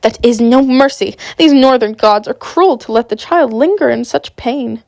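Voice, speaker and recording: A haughty, cold queen, put on by a woman reader who is sick, clipped and disdainful. The voice sits fairly high with a gravelly texture. The recording is dry and close. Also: moderate pace, darkish tone, no echo.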